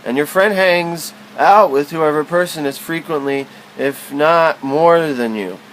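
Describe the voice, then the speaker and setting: A man giving a literary spoken-word recitation in a theatrical, halting, wordy way, stumbling and repeating himself. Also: fairly high pitch, fairly bright tone, a little hiss or hum, low-quality recording.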